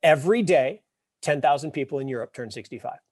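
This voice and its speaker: A man in his fifties, a podcast guest, telling wry stories and explaining ideas with emphatic, animated delivery. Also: on the high side, a little fast, dry recording, studio quality. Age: 50s. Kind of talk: casual interview talk.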